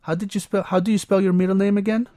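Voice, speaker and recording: Polite student in his twenties, acted by a man, slightly high voice, careful textbook delivery. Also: little pitch movement, quick pace, warm tone, no room sound, studio quality, lightly acted. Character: polite young male engineering student.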